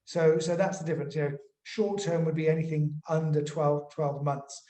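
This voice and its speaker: A man, a lawyer explaining things informally in an interview, haltingly and often repeating words. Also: on the high side, steady tone, mellow. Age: 40s. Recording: roomy, echoing sound.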